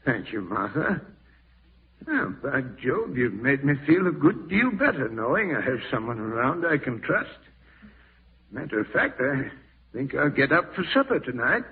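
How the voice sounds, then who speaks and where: Gravelly old farm uncle, radio drama. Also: male voice, mid-range voice, big pitch swings, normal speed, full character voice. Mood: solemn, confiding. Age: older. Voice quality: gravelly, husky. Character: stern, ailing old uncle, a farm owner. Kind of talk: 1940s radio drama acting. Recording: muffled old radio recording.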